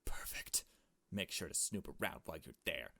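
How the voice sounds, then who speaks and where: A man's voice: extremely low pitch, lively intonation, normal speed, vocal fry, bright, forceful tone, no room sound, whispered, voice pushed down, full character voice. A man voicing a bossy, scheming young woman from a visual novel, curt and commanding. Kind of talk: visual novel dialogue read aloud.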